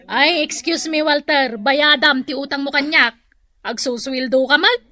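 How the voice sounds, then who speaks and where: Scolding co-worker, Ilocano accent, high pitch, bright forceful tone, lecturing, radio drama. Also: female voice, a little slow. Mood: exasperated, scolding. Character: scolding, no-nonsense female co-worker who lends money.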